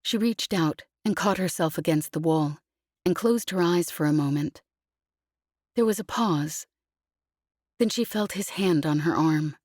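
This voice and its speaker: A woman narrating a scripted novel as an audiobook, in a measured storytelling manner. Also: deep voice, darkish tone, no room sound, studio quality.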